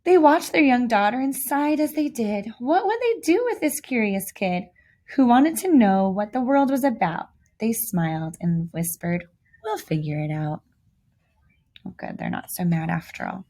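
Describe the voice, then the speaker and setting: Lady doing an expressive kids storybook read-aloud, really lively pitch, lots of dramatic emphasis. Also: normal speed. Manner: animated, theatrical emphasis with asides to young listeners. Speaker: volunteer reader of a children's picture book.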